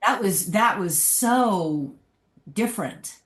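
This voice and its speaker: A woman's voice: medium pitch, expressive, slow pace. A woman chatting casually as a guest on a podcast panel, in roomy sound. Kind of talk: casual chat, discussion.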